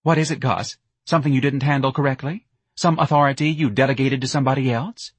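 A man's voice: medium pitch, steady tone, fast talker, breathy edge, dry recording, pitched above the performer's own voice, close to an everyday voice. A man voicing a melodramatic, self-pitying middle-aged wife who nags and wails accusingly. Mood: needling. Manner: wailing, accusatory.

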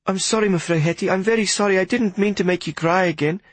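A man's voice: high-pitched, quick pace, no room sound, pitched above the performer's own voice. A man reading an audiobook, voicing a polite, earnest young boy who apologizes and speaks formally.

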